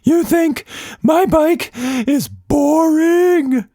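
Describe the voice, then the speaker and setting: A man voicing an excitable, boastful, playful monster with casual, slangy talk in a children's story. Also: very high voice, extremely slow, creaky, no room sound, studio quality, pitched above the performer's own voice, full character voice. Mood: shocked, indignant.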